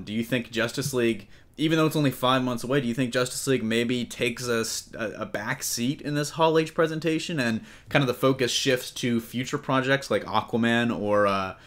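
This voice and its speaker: A man hosting a casual entertainment news discussion in a conversational way, putting questions to his co-hosts. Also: mid-range voice. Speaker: podcast host.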